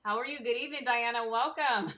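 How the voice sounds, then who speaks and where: A woman's voice: fairly high pitch, fast, sharp tone. A woman hosting an online talk show, reading a guest's bio with enthusiastic asides, on a video call. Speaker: host.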